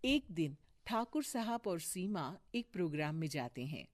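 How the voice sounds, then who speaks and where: A woman narrating a cartoon moral story in a steady storytelling voice. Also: fairly low pitch, moderate pace, no echo, studio quality.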